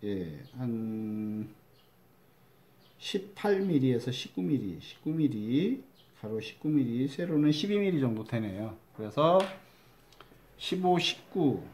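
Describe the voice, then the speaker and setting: A man's voice: medium pitch, very animated, takes it slowly, darkish tone. A middle-aged man from Chungcheong with a Chungcheong Korean accent, demonstrating a recycled-materials craft step by step as a crafts teacher, chatty and repeating key words. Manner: chatty, repeats key words, drops to a mutter while measuring.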